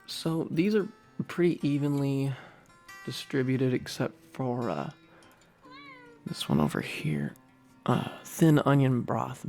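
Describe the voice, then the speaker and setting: A man reading a humor article aloud with hesitant, rambling asides and frequent throat-clearing, switching between recitation and casual commentary. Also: mid-range voice, darkish tone.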